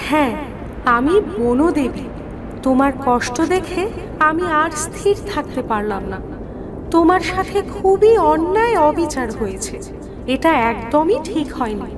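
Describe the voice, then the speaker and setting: A woman's voice: sits high, big pitch swings, a little slow, over music. A woman voicing a kind forest goddess in a cartoon fairy tale, sympathetic and comforting. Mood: compassionate.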